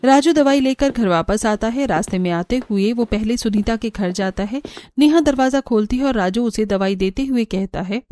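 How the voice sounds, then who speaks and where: High-voiced woman narrating a story. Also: normal speed, few pauses, a little mellow. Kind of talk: scripted animated storytelling narration. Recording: music under the voice.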